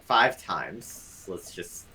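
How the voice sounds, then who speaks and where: A man's voice: sits low, animated, drawn-out words, bright, forceful tone, breathy edge, rough recording. A man speaking as a game developer guest in a casual interview, explaining things in an analytical, detailed way.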